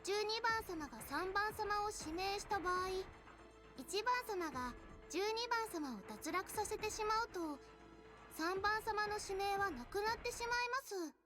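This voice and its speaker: An actress playing a poised, childlike goddess in an anime-style game, with polite, haughty, refined speech. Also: female voice, very high voice, animated, brisk. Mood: composed, formal. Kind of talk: Japanese anime-style visual novel acting. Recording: loud game music under the voice.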